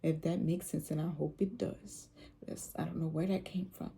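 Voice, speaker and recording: A woman talks about faith in a casual vlog. Her voice is low and soothing, with a gravelly edge and a very dark, mellow tone. She rambles, repeats herself and fills pauses with "um" and "like.". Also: fairly even intonation. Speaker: vlogger.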